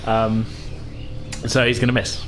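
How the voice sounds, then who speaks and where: A man's voice: on the low side, completely flat delivery, a little slow, a little hiss or hum. A man acting as dungeon master, narrating a tabletop game casually with informal, sweary language. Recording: music behind the voice. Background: background music.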